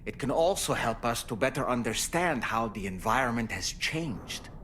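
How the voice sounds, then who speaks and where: A man's voice: medium pitch, animated, normal speed, pushed and bright, slight background noise. A man acting as a kindly, thoughtful counsellor in a video game, reassuring and articulate. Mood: consoling.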